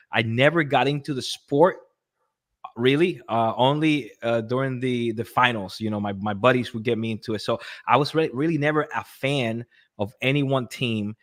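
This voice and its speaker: A man from Puerto Rico with a medium-pitched voice speaks as an interview guest. His delivery is casual and conversational, like someone telling their story to a friend. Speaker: podcast guest, real estate agent and pastor.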